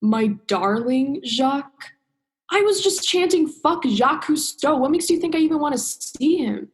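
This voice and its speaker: Actress playing bitter young woman scientist in a radio play, highish voice, sarcastic, leans hard on words. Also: animated. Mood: mocking. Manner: sardonic, emphatic, drawn-out stresses. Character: bitter, sarcastic, foul-mouthed young female scientist diver. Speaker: drama student acting. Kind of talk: radio play monologue and dialogue.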